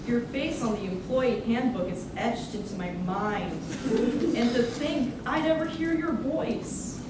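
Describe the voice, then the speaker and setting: A woman's voice: animated, a little slow. A woman playing a starstruck, eager young pretzel shop employee in a staged reading of a musical. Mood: starstruck, excited. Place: echoey room, staged reading. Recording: distant, echoey, noisy room with rumble.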